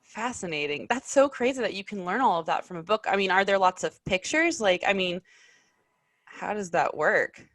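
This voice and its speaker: A woman's voice: medium pitch, expressive, normal speed, a bit bright, dry recording. Chatty woman host, dry close sound. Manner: chatty, frequent laughs and affirmations. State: early-morning raspy, low voice. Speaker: podcast co-host. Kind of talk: casual interview hosting.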